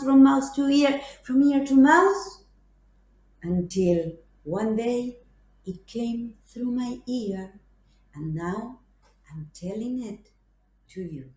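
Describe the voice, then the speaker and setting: High, slow, playful woman storyteller. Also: a little mellow, roomy sound. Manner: slow, sing-song, playful, with mouth sounds. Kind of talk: playful storytelling with sound effects.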